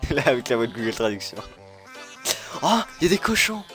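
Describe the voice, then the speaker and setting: A young man chatting casually and excitedly while playing a video game with a friend. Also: medium pitch, normal speed, faint music behind. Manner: lively, jokey, bursts into loud exclamations. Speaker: Minecraft let's player, channel host. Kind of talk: casual gaming chat with a friend.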